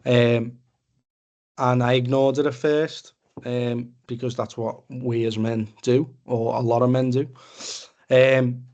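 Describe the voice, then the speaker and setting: A man's voice: mid-range voice, level tone, takes it slowly, darkish tone, lo-fi sound. A young man with a Liverpool Scouse accent giving an informal, plain-spoken personal talk in a conversational way. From Liverpool area, England. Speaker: men's mental health support group speaker. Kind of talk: informal personal testimony presentation. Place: online webinar video call.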